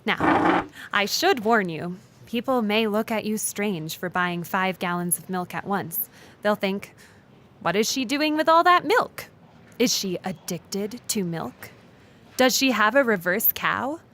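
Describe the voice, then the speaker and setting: A woman playing an earnest, absurdly literal lawyer, formal and deadpan, in an audio comedy sketch. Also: mid-range voice, a little slow.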